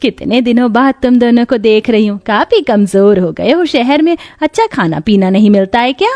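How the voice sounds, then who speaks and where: A woman's voice: on the high side, expressive, moderate pace, a little mellow. A woman voicing a stubborn, fretful elderly mother-in-law in a cartoon story, given to scolding. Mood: doting.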